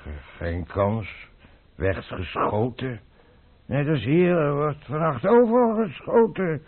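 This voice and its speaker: A man acting a drunken veteran cavalry sergeant in a radio play, rambling haltingly between boasts and gloom. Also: a little high, sing-song, exaggerated voice. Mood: drunken, gloomy. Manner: halting drunken rambling, breaking into recruiting cries. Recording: muffled old radio play recording.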